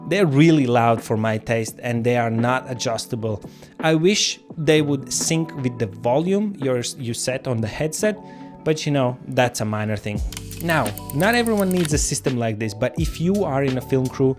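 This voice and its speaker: A man's voice: medium pitch, animated, moderate pace. A man hosting a product review, talking viewers through the details in a conversational, explanatory way. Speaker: gear reviewer and filmmaker, channel host. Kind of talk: casual scripted product review to camera. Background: background music.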